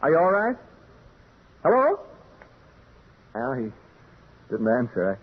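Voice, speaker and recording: Nervous rambling radio host stuck in a spooky house, voice swings all over, crackly old broadcast sound. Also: male voice, medium pitch, huge pitch swings, slow, breathy edge, heavily acted. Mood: anxious. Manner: nervous, rambling, stammering, confiding in listeners. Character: jumpy, chatty male radio broadcaster alone in haunted house. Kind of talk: old-time radio drama acting. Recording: noisy, narrow-band old radio recording.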